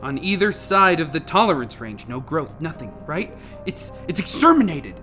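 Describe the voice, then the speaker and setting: Radio drama scientist, played by a woman: low-pitched, careful, reassuring, faint music underneath. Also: big pitch swings, moderate pace. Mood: thoughtful. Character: cautious senior scientist trying to keep colleagues calm. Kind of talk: amateur radio play acting.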